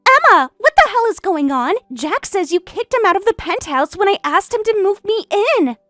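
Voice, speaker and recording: A woman's voice: very high-pitched, very animated, a little slow, no echo, studio quality, faint music behind, exaggerated voice. A woman voicing a smug, catty young woman who stole her best friend's husband, taunting and boastful in a voiced story drama. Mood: indignant.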